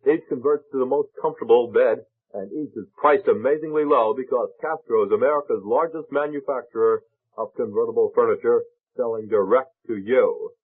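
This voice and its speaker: A man's voice: mid-range voice, a little fast, slightly rough. A man working as a local radio announcer, reading a scripted mid-century commercial. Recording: narrow muffled old radio broadcast.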